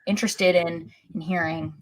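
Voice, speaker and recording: Low-pitched woman co-hosting a sports podcast. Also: steady tone, moderate pace, slight background noise, rough recording. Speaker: podcast co-host. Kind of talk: casual sports podcast chat.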